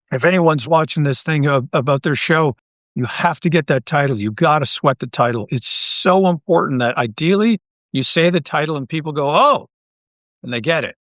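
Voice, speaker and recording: Higher-voiced Canadian man, chatting casually. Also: no room sound. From Toronto, Canada. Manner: animated storyteller, halting self-interruptions and repeated words. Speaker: podcast guest, audio drama writer. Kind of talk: casual interview chat.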